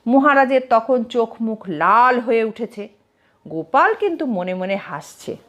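Female narrator for comic tales, expressive, very lively intonation, higher register. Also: measured pace. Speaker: storyteller, narrator. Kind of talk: scripted comic story narration.

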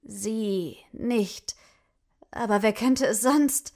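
A woman's voice: a little high, drawn-out words, a little mellow. An actress playing a bold but nervous young woman, a horror fan caught intruding, in an audio drama. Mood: nervous, apologetic. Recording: music bed under the voice.

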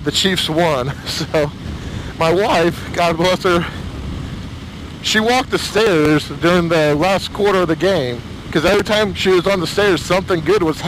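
A man talking casually to camera as a motorcycle vlogger, slightly hoarse, emotional and rambling with halting restarts. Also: high-pitched, fairly bright tone, a little hiss or hum, poor audio.

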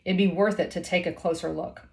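A woman's voice: on the low side, steady tone, fairly quick, gravelly. A woman in her thirties reading a children's novel aloud as a storyteller, recorded indoors at home. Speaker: storybook reader. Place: small indoor room at home.

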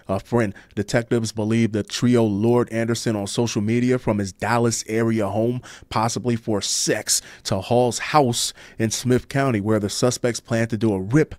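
A man's voice: mid-range voice, darkish tone, dry recording. A man with an American accent reading crime news and commenting on it in a blunt, sardonic, slangy way.

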